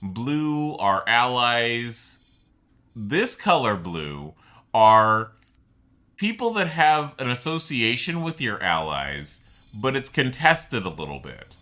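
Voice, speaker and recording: A man's voice: mid-range voice, big pitch swings, takes it slowly, pushed and bright. A man giving unscripted game commentary, explaining things in a rambling way and circling back to restate his points. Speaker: gameplay video host.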